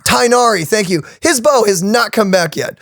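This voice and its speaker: A man co-hosting a casual game reaction, his pitch swinging up and down as he talks. He explains things loosely, full of "uh" and "like", and sounds close and dry on the mic. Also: moderate pace, vocal fry, no room sound. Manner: animated, explains game stats with many fillers. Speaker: co-host of a game reaction channel.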